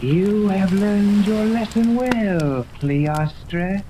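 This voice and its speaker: A woman's voice: mid-range voice, drawn-out words. An actress playing an imperious, menacing female villain in a 1930s radio serial, speaking with haughty, commanding, formal diction. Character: imperious, menacing woman villain with a paralyzing ray gun. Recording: noisy old 1930s radio recording.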